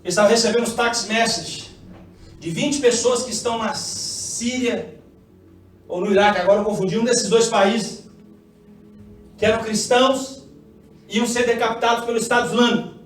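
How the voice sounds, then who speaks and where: A Brazilian man from Minas Gerais with a Mineiro accent, preaching an impassioned sermon and swinging from hushed asides to shouted climaxes. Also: extremely high pitch, steady tone, moderate pace, long pauses. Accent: Mineiro Brazilian Portuguese. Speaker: pastor. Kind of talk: Pentecostal sermon with call-and-response. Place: church hall. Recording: very echoey church, far from mic, music behind.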